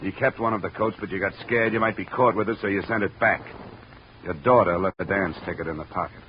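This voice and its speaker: A low-pitched, hard-boiled private investigator played by a man, dry and wry in vintage radio style. The recording is muffled, with clear background noise. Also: fast talker, natural voice. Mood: tough, accusing. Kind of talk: 1950 radio detective narration and dialogue.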